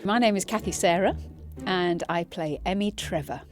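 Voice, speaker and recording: A woman's voice: on the low side, normal speed. An actress talking earnestly and reflectively about her role in an interview. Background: music.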